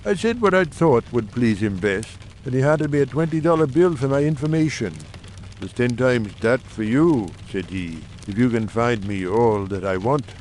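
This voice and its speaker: A man's voice: medium pitch, lively intonation, moderate pace, a little mellow, slight background noise, voice pushed down, close to an everyday voice. A man voicing an earnest, shrewd and confident secret society member making formal, persuasive speeches in a dramatised audiobook. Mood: confiding, assured.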